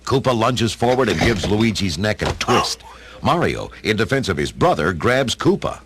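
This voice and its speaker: A man's voice: fairly low pitch, big pitch swings, moderate pace, noisy background. A man narrating a radio play as a dramatic storyteller, with a folksy, wry manner.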